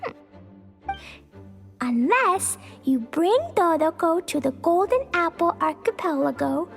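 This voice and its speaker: A woman voicing a small, cute, earnest and excitable little girl in a video game, with a childlike, sometimes halting way of talking. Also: very high-pitched, gravelly, soft background music, full character voice. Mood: halting, puzzled. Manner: childlike, refers to self in third person, halting over hard words. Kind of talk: video game character voice acting.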